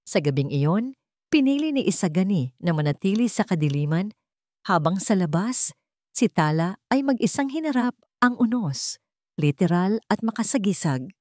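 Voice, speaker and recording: A woman with a Filipino accent reading a scripted dramatic story in a measured, storytelling manner. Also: medium pitch, sing-song, a little mellow, dry recording, studio quality. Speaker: storyteller, narrator.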